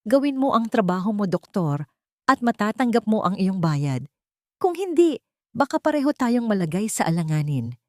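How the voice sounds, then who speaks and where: Woman putting on a villainous stepmother, sweet but steely, high lively voice, audio drama. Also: moderate pace, no room sound, studio quality, close to an everyday voice. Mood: cold commanding. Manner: sweet on top, icy and commanding underneath. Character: scheming cold-hearted rich stepmother, adult woman.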